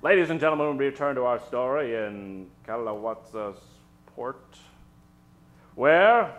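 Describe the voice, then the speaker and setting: Animated man narrating old-time radio. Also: mid-range voice. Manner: theatrical, dramatic pauses. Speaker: host and narrator.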